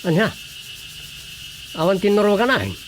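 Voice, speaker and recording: A woman's voice: medium pitch, sing-song, normal speed, natural voice. A woman playing a nosy, incredulous villager in a Filipino radio comedy drama, questioning and exclaiming with a Filipino accent. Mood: curious, incredulous. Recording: noisy background with insect and animal ambience.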